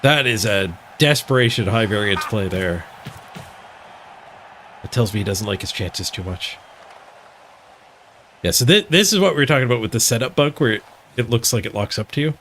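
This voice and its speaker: Animated male game streamer thinking aloud. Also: on the low side, normal speed, pauses often, a little hiss or hum. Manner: thinks aloud, playful exclamations. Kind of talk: casual live gameplay commentary.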